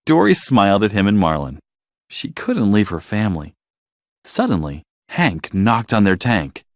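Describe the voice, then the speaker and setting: Male storybook narrator for children: dramatic, highly animated delivery at a middle pitch, recorded dry. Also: measured pace, no echo.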